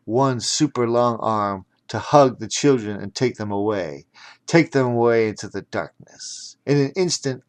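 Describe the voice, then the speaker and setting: A man narrating a children's audiobook theatrically, breaking off for chatty explanatory asides. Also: mid-range voice.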